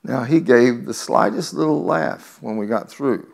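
A man's voice: medium pitch, measured pace, creaky. A man preaching a sermon in a teasing, conversational way, reading scripture and talking directly to the congregation. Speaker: pastor.

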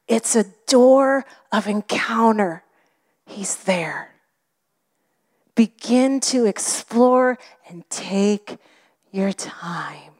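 A woman preaching a sermon with an expressive delivery that often drops to a whisper. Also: medium pitch, drawn-out words.

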